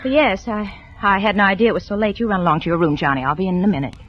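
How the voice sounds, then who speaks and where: A woman's voice: mid-range voice, racing, a little hiss or hum, natural voice. An actress playing an anxious, evasive wife and mother with a hesitant, nervous manner, in 1940s radio drama style. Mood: motherly. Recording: muffled old radio recording, music behind.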